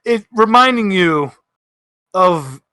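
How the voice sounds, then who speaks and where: A man's voice: high voice, expressive, slow, sharp tone, no room sound. A man acting as game master, casually narrating a tabletop game.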